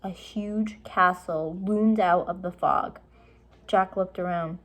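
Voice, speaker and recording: A woman's voice: lowish voice, steady tone, slow pace. A woman reading a children's storybook aloud, in simple, explanatory style for young listeners.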